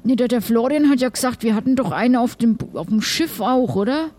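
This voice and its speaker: A woman's voice: on the high side, lively intonation, fairly quick, dark tone. A woman playing a chatty, loyal, naive male pirate in an improvised adventure, rambling along colloquially and hesitantly. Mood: eager, puzzled.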